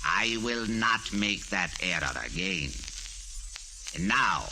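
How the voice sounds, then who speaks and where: An actor in 1940s radio style playing a megalomaniac cult villain, grandiose, pompous and coldly polite in formal, theatrical speech. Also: male voice, sits low, lively intonation, slow, noisy background. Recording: vintage 1940s radio with organ music.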